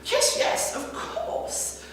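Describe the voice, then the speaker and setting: A woman's voice: extremely slow, fairly bright tone. A woman voicing a pompous, impatient, greedy old rich man in a folk tale, with an eager, dismissive manner. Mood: impatient agreeing. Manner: eager, dismissive, self-assured. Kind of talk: live folk-tale storytelling. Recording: very echoey hall, distant mic, faint noise.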